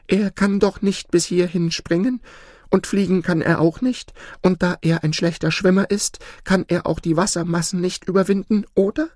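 A woman's voice: high voice, measured pace, dark tone, no room sound, clean studio sound, pitched above the performer's own voice. A woman voicing a frightened female goose in a fairy tale, anxious and dramatic as she tells her tale. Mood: anxious questioning.

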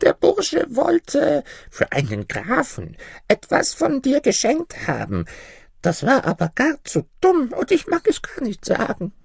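A man voicing an ancient, crafty little old woman in a fairy-tale reading, with a thin, breathy falsetto and a slow, coaxing manner. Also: wildly swooping pitch, dry recording, studio quality, heavily acted. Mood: coy, coaxing.

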